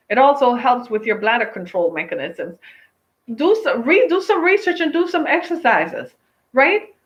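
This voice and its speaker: Emphatic woman in her fifties, lo-fi. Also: on the high side. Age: 50s. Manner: emphatic, preachy, repeats phrases for effect. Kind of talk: casual opinion talk to viewers. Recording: dull lo-fi sound.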